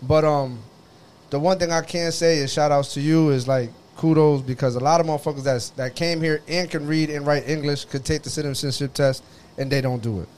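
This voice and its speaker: A man's voice: a little high, fairly even intonation, fairly quick, darkish tone. A man born in Puerto Rico co-hosting a casual podcast, talking loosely with slang and repeated words. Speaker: podcast co-host.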